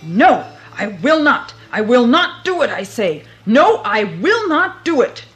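A woman's voice: medium pitch, very animated, measured pace, faint music behind. A woman doing a cheeky, sly young lad. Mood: yelling.

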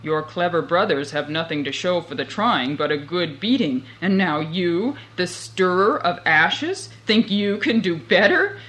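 A woman's voice: on the low side, lively intonation, measured pace, hardly any pauses, a bit bright, rough recording. A woman voicing a tired, grumbling old father who is fed up with his youngest son. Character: weary, grumbling old father of three sons.

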